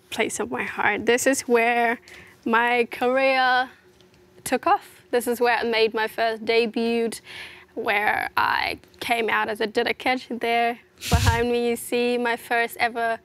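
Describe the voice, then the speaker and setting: A young woman from South Sudan talks through her story in a relaxed interview, earnest and reflective. Her voice sits a little high and carries a lot of gravelly fry, and she fills her thoughts with "you know" as she goes. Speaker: interview guest, fashion model. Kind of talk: casual personal interview.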